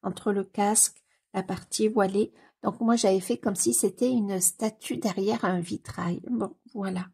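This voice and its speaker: Husky, gravelly woman with a cold. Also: medium pitch, steady tone, measured pace, dark tone. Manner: gushing, repeats exclamations of delight, many hesitation fillers. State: has a cold. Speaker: coloring book YouTuber. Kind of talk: casual commentary while leafing through a book.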